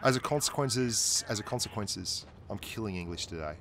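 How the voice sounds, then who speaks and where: A man's voice: lowish voice, normal speed, a bit bright, slight background noise. A man with an Australian accent giving chatty, joking commentary over his own tank gameplay as host of a gaming channel. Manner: chatty, joking, self-deprecating.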